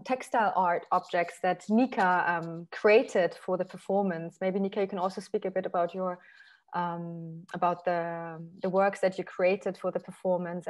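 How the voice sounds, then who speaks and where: A woman moderating an artist talk, introducing others with frequent hesitations. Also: medium pitch. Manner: hesitant, many fillers.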